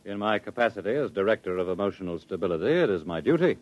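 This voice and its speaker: Low, haughty villainous official played by a man. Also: animated, quick pace, darkish tone, dull audio, lightly acted. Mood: sardonic, menacing. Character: pompous, menacing male state official, coldly sardonic. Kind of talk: 1950s radio drama acting. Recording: noisy old 1950s radio recording.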